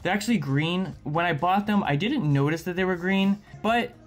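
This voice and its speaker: Higher-pitched man touring his gear. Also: normal speed, faint music behind. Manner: upbeat, chatty, enthusiastic about gear. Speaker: streamer and video creator. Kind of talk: casual setup tour. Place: home streaming room, slightly echoey. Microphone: studio dynamic mic on arm.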